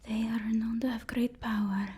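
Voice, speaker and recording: A woman's voice: a little high, little pitch movement, normal speed, dark tone, husky. A woman doing a whispered ASMR role-play as a supervillain's timid, apologetic assistant, speaking in a hesitant, placating way. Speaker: ASMR performer playing a villain's personal assistant.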